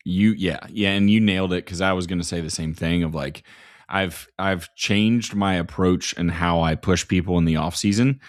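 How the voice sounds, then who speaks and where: A man's voice: low-pitched, fairly even intonation, normal speed, no echo, studio quality. A man in his early thirties, hosting a podcast and coaching, talking loosely with lots of "like" and some swearing. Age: 30s. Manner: loose and swearing, many "like" fillers. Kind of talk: casual podcast chat.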